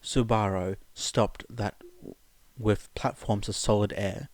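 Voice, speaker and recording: A man reading scripted fiction aloud as a narrator, in a measured, dramatic storytelling manner. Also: fairly low pitch, fairly even intonation, no room sound. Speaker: podfic narrator.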